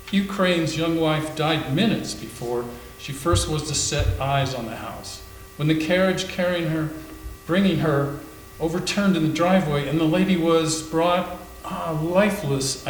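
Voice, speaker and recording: Male reader as somber storyteller, higher-pitched voice, measured readers theater delivery, roomy sound with faint hum. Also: natural voice. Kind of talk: staged readers theater narration. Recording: echoey room with faint hum.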